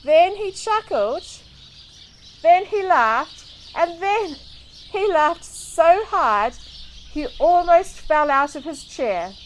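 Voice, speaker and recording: A woman from New Zealand reading a children's storybook aloud in an expressive, deliberate way. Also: very high-pitched, a little hiss or hum. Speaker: children's book reader. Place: outdoors in a garden. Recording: outdoor recording with clear birdsong. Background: birdsong.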